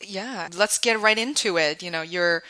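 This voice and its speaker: A woman's voice: mid-range voice, moderate pace, bright, forceful tone, rough recording. A woman podcast host with a Cantonese accent, chatty and enthusiastic as she questions her guest. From China. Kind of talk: casual interview chat.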